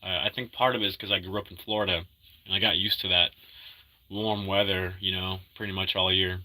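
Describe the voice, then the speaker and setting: A man in his thirties, sick, chatting casually and halting often while reading viewers' comments. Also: low-pitched, level tone, bright, forceful tone, poor audio. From Argentina. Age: 30s. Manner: rambling, halting, full of fillers and restarts; upbeat when greeting viewers. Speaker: livestreamer reading chat. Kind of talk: casual live-stream chat.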